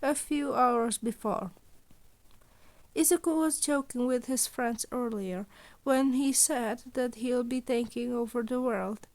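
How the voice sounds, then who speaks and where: A woman's voice: fairly high pitch, darkish tone. A woman narrating fan fiction in an amateur story-reading style.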